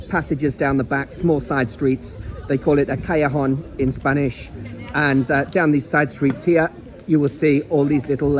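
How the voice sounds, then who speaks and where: A man's voice: on the high side, a little hiss or hum. A man with a Liverpool accent giving a rambling, chatty travel guide while walking along an outdoor seaside promenade. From England. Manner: chatty, rambling, repeats words. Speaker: travel vlogger. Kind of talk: casual walking travel vlog.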